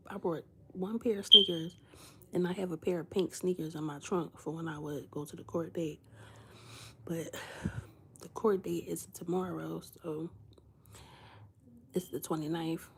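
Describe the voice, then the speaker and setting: Hushed, gravelly woman chatting to viewers. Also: a little hiss or hum. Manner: speaking low and hushed, often near a whisper; animated storytelling, acting out dialogue. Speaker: live-stream vlogger. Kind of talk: casual chat with live viewers. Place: indoors in someone else's house.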